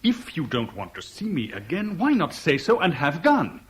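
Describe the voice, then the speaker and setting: A man's voice: a little high, huge pitch swings, moderate pace, slight background noise. An actor playing a blunt, hot-blooded man in a radio drama, with a terse and insistent way of talking. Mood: wounded anger.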